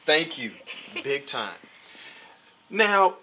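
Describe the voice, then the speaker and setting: Lively, bright-voiced male talk show host. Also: fairly high pitch, drawn-out words, a little hiss or hum, low-quality recording. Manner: playful, teasing, jumps to loud impressions of friends. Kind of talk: casual talk show interview.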